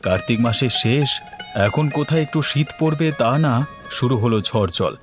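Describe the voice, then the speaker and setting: A man's voice: mid-range voice, animated, normal speed, over music, lightly acted. A man playing a fretful, hospitable village householder in a cartoon, homely and exclamatory in his talk. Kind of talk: cartoon dialogue.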